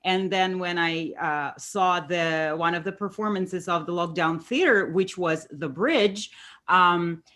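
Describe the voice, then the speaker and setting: A woman from Russia, an actress chatting on a video call, quick and laughing often. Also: on the low side, a bit bright. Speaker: actress guest. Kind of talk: casual video call chat.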